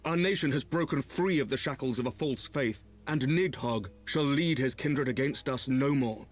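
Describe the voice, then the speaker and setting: An actor playing a noble, earnest leader in a fantasy video game, speaking formally and eloquently. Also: male voice, medium pitch, normal speed, soft background music, lightly acted. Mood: earnest, penitent.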